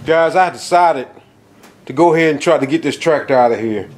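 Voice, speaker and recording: A man's voice: on the high side, a little fast, slight background noise. Guy with a stuffy nose from a cold, rambling country-style vlog talk, lots of uh and you know, filming in a basement. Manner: rambling, folksy, lots of fillers and restarts. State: has a cold, nasal. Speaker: outdoors video host. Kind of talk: casual vlog walkthrough. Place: dark basement of a house. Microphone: handheld camera.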